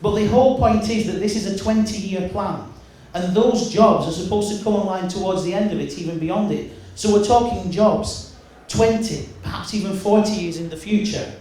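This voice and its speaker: A man from giving a loud, emphatic campaign speech as a mayoral candidate, often restarting his sentences. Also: high voice, normal speed. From Wigan, England. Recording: echoey hall, mic from far off.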